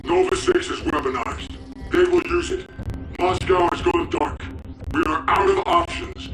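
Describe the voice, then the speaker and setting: An actor playing a stern, urgent interrogator in a video game, forceful, insistent and accusing. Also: male voice, high voice, wildly swooping pitch, unhurried, exaggerated voice. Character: stern, urgent male interrogator pressing a captive. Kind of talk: dramatic video game acting. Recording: roomy echo, loud music behind.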